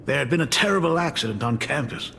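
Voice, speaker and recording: A man voicing a doting, fretful middle-aged father and academy dean in a video game, with expressive, theatrical delivery. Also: on the high side, fast, creaky, long reverb tail, a little hiss or hum, heavily acted. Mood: regretful.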